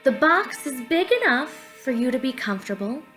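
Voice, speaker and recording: A woman's voice: high-pitched, expressive, normal speed. A young woman speaking as a drama teacher, guiding children through an activity in an encouraging, explanatory way, recorded at home on a webcam. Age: young adult. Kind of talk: instructional talk and guided pantomime narration for children. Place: living room at home, indoors. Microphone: webcam or laptop at arm's length. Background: soft quiet music.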